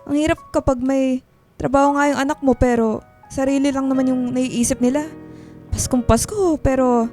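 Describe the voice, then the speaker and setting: Woman acting as whiny middle aged filipino mom, high pitched, guilt trips her kids, radio drama. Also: steady tone, moderate pace, warm tone, faint music behind, close to an everyday voice. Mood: bitter, reproachful. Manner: whiny, reproachful. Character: demanding, guilt-tripping mother of grown daughters.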